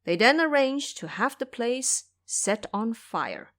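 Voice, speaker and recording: A woman's voice: on the high side, big pitch swings, takes it slowly, fairly bright tone. A woman narrator telling a scripted audio drama story.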